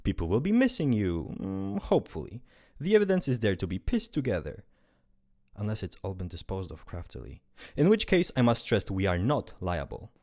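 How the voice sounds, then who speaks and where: A man's voice: lowish voice, huge pitch swings, normal speed, a little mellow. A man playing a breezy, condescending afterlife closure officer in an amateur radio drama. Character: fussy, glib male afterlife closure officer.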